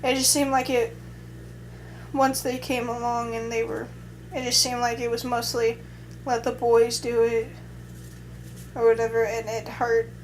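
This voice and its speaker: A woman's voice: fairly high pitch, flat delivery, measured pace, slight background noise, poor audio. A young adult woman vlogger in casual chat, talking in a hesitant, rambling way with many restarts.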